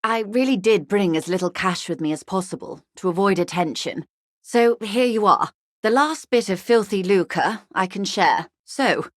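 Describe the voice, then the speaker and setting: Woman acting a rich corporate negotiator, video game dialogue, lowish pitch, refined diction, dry irony, close dry sound. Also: no echo, close to an everyday voice. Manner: urbane, measured, dryly ironic. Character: wealthy, poised corporate negotiator woman, wryly courteous. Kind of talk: scripted game dialogue, conversational.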